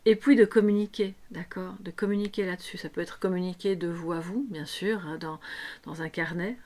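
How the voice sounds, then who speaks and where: A woman with a somewhat low voice and a slight hoarseness guides viewers through a tarot reading. She talks off the cuff, explaining as she goes and checking in with her listeners. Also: steady tone, fairly quick, darkish tone. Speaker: tarot reader and coach hosting a guidance video. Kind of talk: unscripted spiritual guidance talk to viewers.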